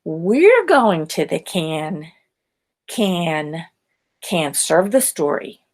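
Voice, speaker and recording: A woman's voice: on the low side, big pitch swings, very slow, darkish tone, heavily acted. A woman voicing an eager, proud young schoolgirl who loves science, in a children's storybook read-aloud.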